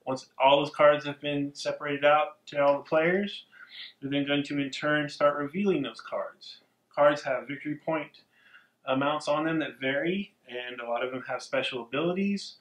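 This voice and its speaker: A man's voice: on the high side, normal speed. Man explaining game rules in an echoey room. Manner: steady explainer, frequent ums. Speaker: podcast co-host.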